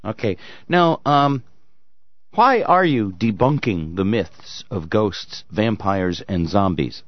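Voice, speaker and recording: A man's voice: fairly low pitch, animated, slow, dry recording, lo-fi sound. A man hosting a morning radio talk show, asking playful, teasing questions. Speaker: radio host.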